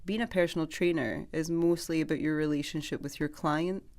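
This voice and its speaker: A woman's voice: sits low, steady tone, fairly quick, a little mellow. A Scottish woman in her thirties, a personal trainer and dance teacher, chatting as a guest in a radio interview and laughing easily. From Scotland.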